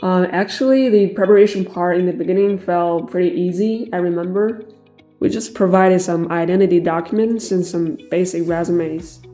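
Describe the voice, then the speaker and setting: Calm hesitant client guy, says uh a lot, woman dubbing him, lowish mellow voice. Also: moderate pace, lightly acted. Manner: hesitant, frequent "uh" fillers. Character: middle-aged male immigrant client, calm businessman. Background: faint background music.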